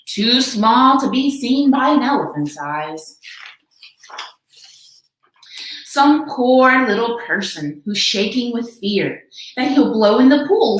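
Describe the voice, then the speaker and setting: A woman's voice: on the high side, very echoey, voice pushed up, poor audio. A woman voicing a kindly, earnest, protective male elephant in a read-aloud storybook, pleading and exclaiming. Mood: concerned.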